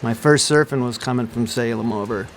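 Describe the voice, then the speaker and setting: A man's voice: medium pitch, fairly quick, faint music behind. A man from Oregon, a surfer, speaking casually in a joking, self-deprecating way. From Oregon, USA. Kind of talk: casual interview talk.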